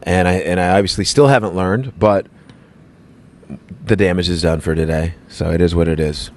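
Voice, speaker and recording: A man's voice: low voice, fairly even intonation, normal speed, darkish tone. A man hosting his own podcast, talking in a loose, rambling, self-mocking way. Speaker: podcast host. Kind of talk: casual podcast chat.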